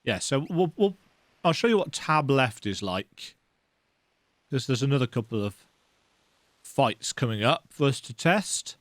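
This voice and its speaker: A man's voice: medium pitch, big pitch swings, a little slow, long pauses, fairly bright tone, no room sound. A man hosting a let's play, giving casual, rambling, conversational commentary on a video game.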